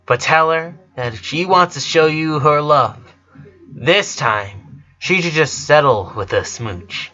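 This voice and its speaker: A man's voice: fairly high pitch, animated, slow, pushed and bright, muffled recording, full character voice. A man voicing a brash, teasing sister in a storybook, blunt and bossy.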